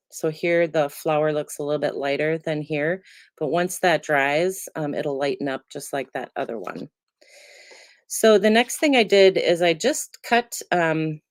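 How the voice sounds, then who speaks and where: A middle-aged woman demonstrating card making, chatty and relaxed with many ums, still a little congested from a cold. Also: deep voice, no room sound, studio quality. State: congested, getting over a cold. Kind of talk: casual crafting tutorial.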